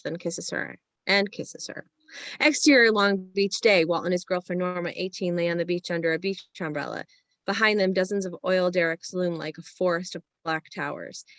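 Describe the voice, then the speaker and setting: A woman's voice: lowish voice, moderate pace, fairly bright tone, no echo. Close, dry woman reading screenplay directions. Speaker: stage-direction narrator. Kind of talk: scripted screenplay narration.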